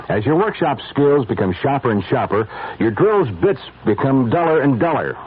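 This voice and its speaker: Animated, husky American radio pitchman. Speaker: commercial spokesman. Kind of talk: scripted radio hardware ad. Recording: thin, phone-like old radio recording.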